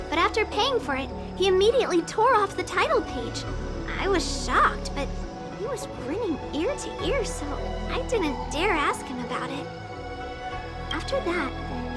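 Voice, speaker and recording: A woman voicing a timid, bookish young female shop clerk in a video game, hesitant and apologetic. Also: very high-pitched. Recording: music under the voice.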